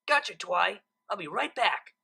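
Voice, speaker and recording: A woman's voice: mid-range voice, sing-song, measured pace, vocal fry, sharp tone, slightly rough, heavily acted. A woman voicing a casual, mischievous young male dragon assistant in a story reading.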